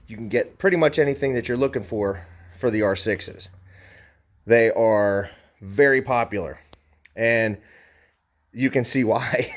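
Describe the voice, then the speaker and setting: A man giving a conversational, enthusiastic, unscripted sales walkaround as a motorcycle dealer. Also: mid-range voice. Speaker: motorcycle dealer presenting a bike for sale.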